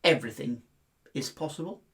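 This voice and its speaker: Man putting on a squeaky, pleading tiny storybook mouse. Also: fairly quick, a bit bright, natural voice. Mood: cheerful, reassuring. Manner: earnest, polite pleading. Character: tiny timid male mouse, pleading but plucky. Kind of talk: children's fable storytelling.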